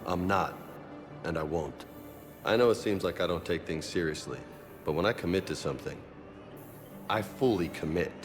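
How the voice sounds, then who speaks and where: A man's voice: sits low, normal speed, long pauses, music in the background, natural voice. An actor playing a cocky young male marine who jokes casually but stays loyal, in a game cutscene. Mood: easygoing. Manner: casual, joking, laughs easily. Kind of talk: video game cutscene acting.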